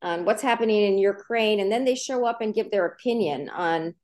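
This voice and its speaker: A woman's voice: mid-range voice, normal speed, darkish tone. Woman, journalism professor as interview guest; earnest, explanatory, emphatic. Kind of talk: interview answers.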